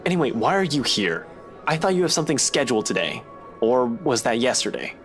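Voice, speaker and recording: A man's voice: medium pitch, animated, a little fast, soft background music, natural voice. A man voicing a blunt, stubborn young investigator in a visual novel game. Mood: matter-of-fact.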